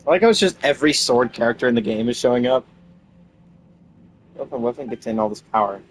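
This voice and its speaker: A man's voice: mid-range voice, expressive, fast, a little hiss or hum, low-quality recording. A man giving casual, off-the-cuff commentary as he plays and streams a video game.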